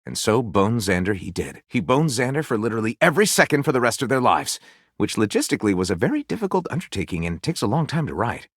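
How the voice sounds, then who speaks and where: A theatrical male storyteller from a video game, with a very lively pitch that swings widely. He narrates like a grand storybook, mock-solemn one moment and gushing the next, in a close, dry studio sound. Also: quick pace, husky, no echo. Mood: mock-solemn narrating. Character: theatrical, excitable male storyteller, a datable book-loving narrator character. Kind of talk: dramatic tongue-in-cheek storybook narration.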